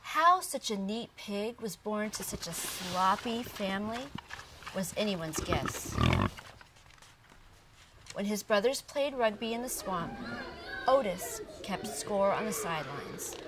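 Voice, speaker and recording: Woman reading a kids book, real slow and expressive, a bit away from the mic. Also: medium pitch, noisy background. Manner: deliberate, expressive storytelling. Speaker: picture book reader. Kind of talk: children's storybook narration. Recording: distant from the microphone.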